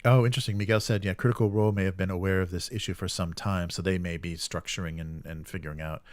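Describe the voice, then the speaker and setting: Deep voiced guy on a gaming livestream, kinda rambly n halting, musing out loud, dry close sound. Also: fairly even intonation, dry recording. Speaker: host of a live tabletop gaming stream. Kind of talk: casual live commentary with reading of chat comments.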